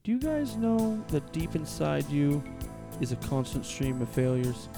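A man's voice: a little high, sing-song, measured pace, creaky, music in the background. A man co-hosting a podcast, talking bluntly with a lot of swearing and prodding his partner to keep going. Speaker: podcast co-host. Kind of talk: casual chat.

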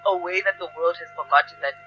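Very bright, low-pitched woman's voice. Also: fast talker, soft background music, poor audio. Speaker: interview guest, theatre practitioner. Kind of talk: reflective, conversational explanation.

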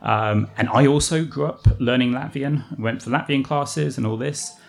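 A man's voice: medium pitch, normal speed, gravelly. A man with an English accent, a guest telling his family story in a chatty, rambling way. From England. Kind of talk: casual interview answers.

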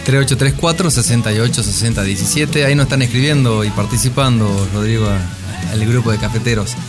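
A man's voice: medium pitch, fast. A man with an Argentine accent co-hosting a radio show in a casual, bantering style. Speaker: radio co-host. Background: plucked guitar music.

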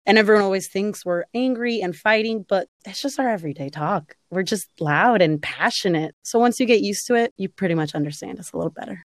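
A woman's voice: mid-range voice, expressive, fairly quick, few pauses, no room sound, clean studio sound. A woman actress from Los Angeles telling a personal story casually, with Spanish phrases mixed into her English. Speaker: actress guest.

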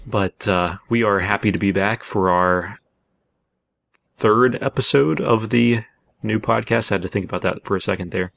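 A man hosting a casual podcast in a halting, self-deprecating way. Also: fairly low pitch, vocal fry, a bit bright, no echo. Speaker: podcast host.

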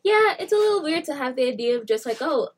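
A nineteen-year-old woman chatting casually with friends, lively and slangy, laughing often. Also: high voice, quick pace. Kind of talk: casual chat among friends. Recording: voice a bit far from the mic.

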